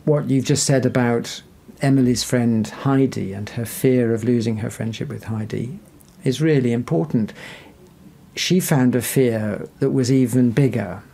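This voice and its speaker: A man being interviewed as an expert, explaining things in a gentle, reassuring and tentative way. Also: mid-range voice, measured pace, creaky. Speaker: psychiatrist, interview guest.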